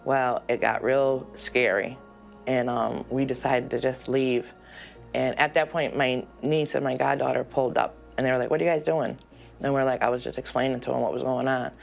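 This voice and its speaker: A woman in her forties tells her story in a documentary interview with a gravelly, fry-laden voice. She hesitates often and sounds emotional. Soft music sits under her voice. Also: very deep voice, steady tone. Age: 40s. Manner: emotional, halting with ums. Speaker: jail inmate awaiting trial.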